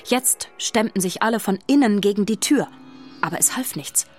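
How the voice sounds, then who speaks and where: A woman's voice: mid-range voice, animated, normal speed. A woman narrator reading scripted narration for a children's radio play. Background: music.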